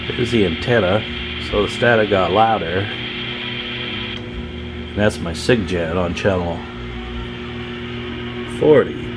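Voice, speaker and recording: Low-voiced man chatting at a workbench. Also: low-quality recording. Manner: informal, folksy, talking to viewers while tinkering. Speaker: host of a radio repair channel. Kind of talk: casual hands-on workbench commentary. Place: at a workbench, indoors.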